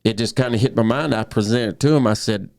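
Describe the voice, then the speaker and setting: A man's voice: mid-range voice, quick pace, vocal fry, darkish tone, no echo, clean studio sound. A man in his thirties, a professional bullfighter, telling his story earnestly in a casual podcast conversation, often restarting his sentences. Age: 30s.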